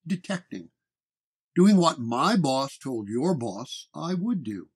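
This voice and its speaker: A man's voice: on the high side, very animated, slow pace, slightly rough, full character voice. Guy doing a dry stoic detective in a spooky story, few words, man's voice. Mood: dry, composed. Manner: terse, dry.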